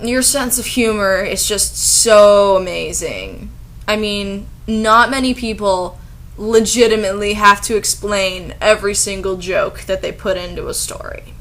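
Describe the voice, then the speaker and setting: A woman's voice: mid-range voice, a little slow, fairly bright tone. A woman doing a dramatic reading of fan fiction with sarcastic, incredulous commentary.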